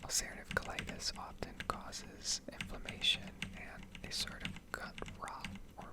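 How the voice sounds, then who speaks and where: A woman speaking in a soft, breathy whisper close to the microphone, rambling and explaining in a hesitant ASMR style. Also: normal speed. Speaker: ASMR video creator.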